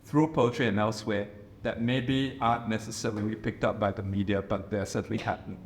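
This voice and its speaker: A man's voice: on the low side, steady tone, fairly quick. A man from Singapore, speaking thoughtfully on a panel and often restarting his phrases. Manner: thoughtful, restarts phrases, many "you know"s. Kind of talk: reflective panel discussion. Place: reception room at a residence. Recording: roomy live panel audio.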